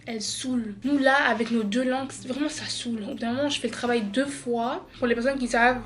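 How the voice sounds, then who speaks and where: A woman's voice: fairly high pitch, steady tone, fast talker. A young woman from Belgium vlogging casually at home, tired and chatty. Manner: chatty, tired, says genre a lot. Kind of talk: casual vlog chat.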